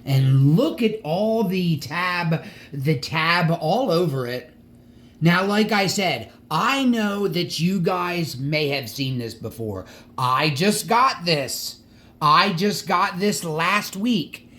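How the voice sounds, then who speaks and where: Halting high-voiced man showing toy cars. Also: lively intonation, bright, forceful tone. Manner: halting, repeats words and restarts often; stutters at times. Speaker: collector showing diecast models. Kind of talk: casual collector vlog.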